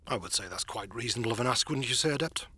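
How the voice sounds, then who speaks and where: A man playing a shrewd royal council dignitary in a fantasy audio drama, husky and breathy, with formal, urbane phrasing. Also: mid-range voice, lively intonation, racing, sharp tone, no room sound, exaggerated voice. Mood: wry, reasoning. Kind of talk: fantasy audio roleplay acting.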